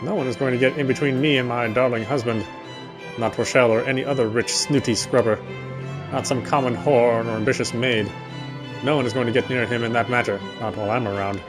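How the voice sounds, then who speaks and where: A man reads first-person game narration aloud in a live, dramatic style. His pitch sits in the middle of the male range, and faint music plays behind him. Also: steady tone, a little fast. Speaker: let's player reading game narration aloud.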